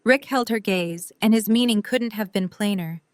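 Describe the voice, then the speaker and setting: A woman reads scripted story narration for an audio drama. Her voice is crisp and smooth at a middling pitch, recorded very dry. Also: normal speed, no room sound.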